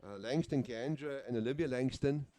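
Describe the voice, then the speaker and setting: Guy doing sports commentary, laid back, lots of uhs, mellow voice. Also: medium pitch, normal speed. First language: Afrikaans. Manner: easygoing, chatty, with frequent uhs and name slips. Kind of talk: live play-by-play commentary.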